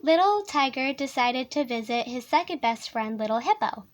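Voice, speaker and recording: A woman with a high voice reads a children's story aloud. Her delivery is soothing and expressive, shaped for a young listener at bedtime. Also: moderate pace. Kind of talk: animated children's storybook reading.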